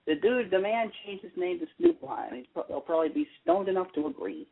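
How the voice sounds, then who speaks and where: A man's voice: high voice, wildly swooping pitch, a little fast, gravelly, slightly rough. A man calling in to a radio show, giving blunt, emphatic opinions in casual chat. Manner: blunt, emphatic, exasperated ranting. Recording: tinny phone line.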